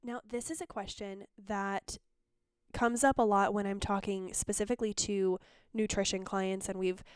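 A woman's voice: mid-range voice, fairly even intonation, a little mellow, clean tone, dry recording, studio quality. A woman hosting a podcast, congested and phlegmy, talking in a chatty, candid way. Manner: chatty and candid, speaks in quick bursts. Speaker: podcast host. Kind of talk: casual podcast talk.

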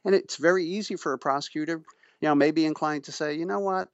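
A middle-aged man giving measured, formal and emphatic explanations as an expert guest in an interview. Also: a little high, no room sound, lo-fi sound. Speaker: interviewee, pretrial services official and former prosecutor. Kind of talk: explanatory radio interview answers.

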